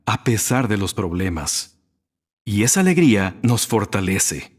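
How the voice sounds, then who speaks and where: A man delivering a prepared religious sermon in a measured, didactic manner. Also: lowish voice, very animated. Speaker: religious speaker at a graduation.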